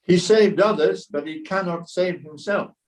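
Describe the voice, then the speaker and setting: Man as a high-voiced, scornful priest, off mic. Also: a little slow. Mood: mocking. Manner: mocking, declamatory. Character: scornful chief priest and hostile accuser. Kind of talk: dramatic scripture reading. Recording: voice distant from the mic.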